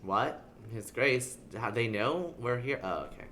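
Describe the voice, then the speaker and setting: A man's voice: medium pitch, lively intonation, unhurried, fairly bright tone, a little hiss or hum. A man livestreaming a game, reading text aloud and adding casual, playfully sarcastic commentary. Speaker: game streamer.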